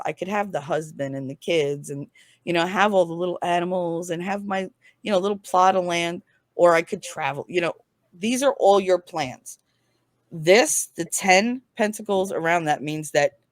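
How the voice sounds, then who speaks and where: A woman's voice: lowish voice, dry recording. A woman giving a casual tarot reading, speaking in a frank, informal, explanatory way. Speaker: tarot reader, guest.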